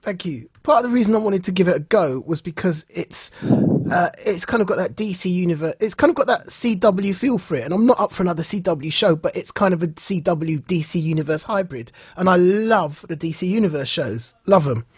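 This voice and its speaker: A man with a very husky, breathy voice chats as a podcast host, recorded dry and close. He tells stories in an animated, keen way and slips into acting out what people said. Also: high voice, brisk, no room sound. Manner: animated storytelling, acts out reported dialogue. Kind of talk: casual enthusiastic podcast chat.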